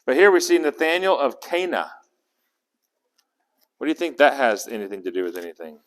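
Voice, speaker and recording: A man teaches scripture to a small group in a loose, conversational way, stopping often to quiz his listeners. His voice sits at an ordinary middle pitch. The sound carries a long hall reverb. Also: very animated, fairly quick, fairly bright tone. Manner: conversational, asks the group questions, restarts words. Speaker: Bible teacher. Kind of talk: interactive Bible study teaching.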